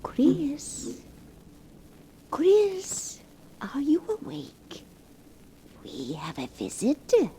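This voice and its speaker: Deluded old aunt, noisy vintage radio. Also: female voice, high-pitched, wildly swooping pitch, extremely slow, long pauses, full character voice. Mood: hushed, fussing. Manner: hushed, fussing, tender, as if humoring someone present. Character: deluded old recluse aunt doting on her dead love. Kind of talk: 1940s radio horror melodrama acting.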